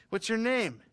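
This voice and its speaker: A florid middle-aged biographer in a western game. His voice sits high and rises and falls a great deal. He talks theatrically, like a desperate man of letters. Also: a bit bright, clear, resonant tone, full character voice. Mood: eager, curious. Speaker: biographer character. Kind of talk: dramatic game cutscene acting.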